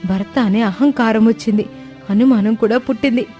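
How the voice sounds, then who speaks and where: A woman's voice: fairly high pitch, normal speed, mellow, close to an everyday voice. A woman voicing an outspoken, aggrieved sparrow wife in a cartoon moral story, speaking in a plaintive, reproachful way. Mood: reproachful, hurt. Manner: plaintive, reproachful, long emotional speeches. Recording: music bed behind voice.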